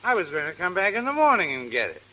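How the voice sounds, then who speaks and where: An actor playing a tipsy, muddled gentleman who is rambling and genteel yet ready to fight, in 1930s radio comedy. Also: high voice, wildly swooping pitch, fast talker, exaggerated voice. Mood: belligerent, tipsy. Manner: rambling, drunkenly genteel, abruptly combative. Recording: crackling 1930s radio broadcast.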